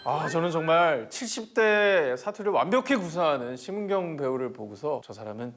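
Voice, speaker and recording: A man co-hosting a film show as critic, giving informative commentary in a steady reading style. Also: on the high side, moderate pace. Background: background music.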